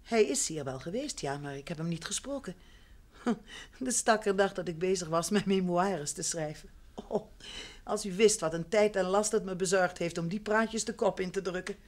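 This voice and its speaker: A woman's voice: fairly low pitch, normal speed, natural voice. An actress playing a refined, evasive and easily flustered woman in a Dutch radio drama, speaking politely and hesitantly. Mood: apologetic, hesitant.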